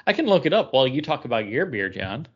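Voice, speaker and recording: A man's voice: mid-range voice, very animated, fast, breathy edge, dull audio. A man chatting casually as a podcast co-host. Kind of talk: casual chat.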